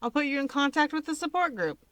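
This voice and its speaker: A woman's voice: high-pitched, sing-song, fast talker, fairly bright tone, dry recording, voice pushed up. A woman voicing an easygoing young man who explains things in a breezy, dismissive and cheerfully nonchalant way.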